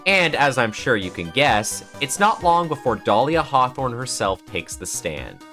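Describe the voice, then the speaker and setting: A man with a mid-pitched, animated voice narrates a video essay. His delivery is earnest and scripted with dramatic emphasis, over faint guitar music. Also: a little slow.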